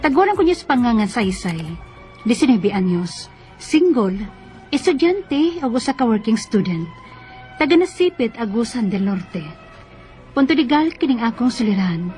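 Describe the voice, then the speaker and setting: Eager teenage girl, high-pitched, slightly raspy, Cebuano accent, radio drama, music underneath. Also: very animated, normal speed, darkish tone. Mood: formal storytelling. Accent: Cebuano Filipino. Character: earnest, eager nineteen-year-old working student girl. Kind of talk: Filipino radio drama acting and narration.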